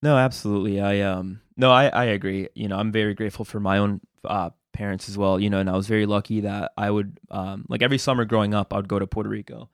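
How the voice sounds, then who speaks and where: A man's voice: fairly low pitch, fairly even intonation, fast, few pauses, dry recording, clean studio sound. A man hosting a podcast interview, chatting casually and hesitantly with many fillers and restarts. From United States. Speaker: podcast host.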